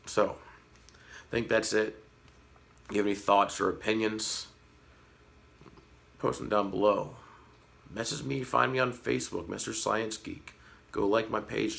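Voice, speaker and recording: A man in his thirties with a slightly hoarse voice, talking frankly and earnestly to his viewers in a casual vlog, with a light sarcastic edge. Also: lowish voice, a bit bright. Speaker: fish-keeping vlogger. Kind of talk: casual talk to camera.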